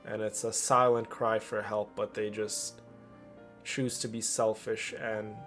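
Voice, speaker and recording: A man's voice: fairly low pitch, flat delivery, unhurried, a bit bright. A man streaming to a community, talking casually and off the cuff in an earnest, emphatic way, often repeating phrases. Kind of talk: casual unscripted personal talk over gameplay. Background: game music and character voices.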